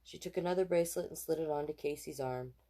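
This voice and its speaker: A woman reading a children's picture book aloud in an expressive, animated way, with casual asides. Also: low voice, fast talker. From Canada. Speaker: storytime reader.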